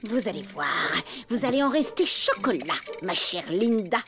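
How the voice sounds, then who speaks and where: A woman's voice: medium pitch, big pitch swings, moderate pace, music in the background, lightly acted. A woman voicing a smug, condescending female elephant mother in a French cartoon dub, with a pompous manner.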